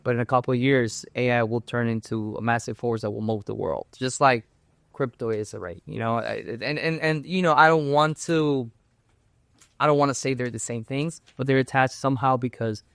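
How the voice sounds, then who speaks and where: A young man from Cuba, a guest in a casual interview, who talks haltingly and often restarts his phrases. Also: mid-range voice, creaky, a bit bright, no echo.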